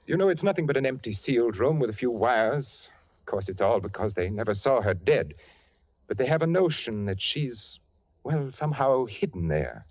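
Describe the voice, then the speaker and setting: A man's voice: medium pitch, sing-song, moderate pace. An actor playing an eccentric rich widowed father in a 1940s radio drama, genteel and nervously insistent. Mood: uneasy. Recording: dull lo-fi 1940s radio broadcast.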